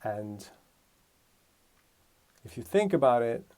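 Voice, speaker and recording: A man's voice: medium pitch, big pitch swings, takes it slowly, mellow. A man from Romania giving a casual music lesson, thinking aloud and correcting himself as he explains. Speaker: music teacher.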